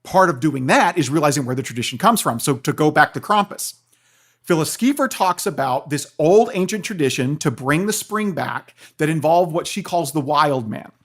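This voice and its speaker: A man explains ideas in a conversational podcast style. His delivery is animated and story-driven, and he keeps checking that the listener follows. He speaks as a knowledgeable guest expert. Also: on the high side, normal speed. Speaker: guest, philosophy professor and author.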